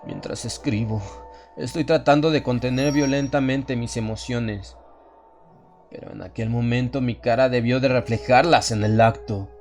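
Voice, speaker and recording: A man reading a first-person horror story as a scripted audiobook, with measured, solemn and dramatic delivery. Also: mid-range voice, steady tone, a little mellow, soft background music. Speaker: audiobook narrator.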